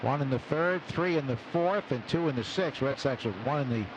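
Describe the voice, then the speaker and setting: A man calling live baseball play-by-play on the radio in short, clipped phrases packed with stats between pitches. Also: lively intonation, brisk. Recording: noisy live outdoor radio broadcast. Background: loud ballpark ambience.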